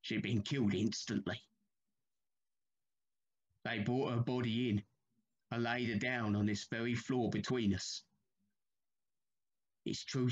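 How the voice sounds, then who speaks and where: A man's voice: medium pitch, slightly rough. An actor playing a haunted, troubled railway signalman in a period audio drama, telling his tale with grave urgency.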